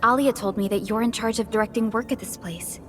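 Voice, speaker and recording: A woman voicing a calm, formal young company supervisor in a video game. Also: fairly high pitch, quick pace, close to an everyday voice. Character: composed young female industrial company supervisor. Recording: faint music behind the voice.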